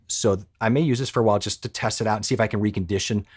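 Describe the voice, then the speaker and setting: A man's voice: fairly low pitch, fairly even intonation, racing, slightly rough, dry recording. A man co-hosting a live stream about gear, chatting casually and jokingly with lots of restarts, recorded on a pencil condenser mic in a home studio. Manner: joking, rambling, frequent restarts. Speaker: co-host of a tech live stream.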